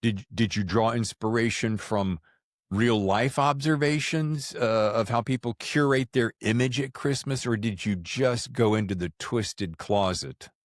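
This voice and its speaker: A man's voice: mid-range voice, measured pace, no echo, studio quality. A man hosting a podcast, chatting casually and teasingly as he interviews a guest. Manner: jokey, teasing. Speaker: podcast host. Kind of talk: casual conversational book interview.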